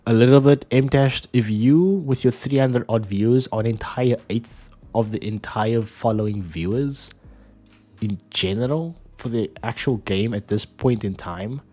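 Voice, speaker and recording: A man's voice: mid-range voice, a little slow, dark tone. A man streamer with a stuffy, nasal voice from a sinus cold, chatting casually and jokingly about games. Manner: laid-back, joking, calls listener dude and bro. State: sick with sinus trouble.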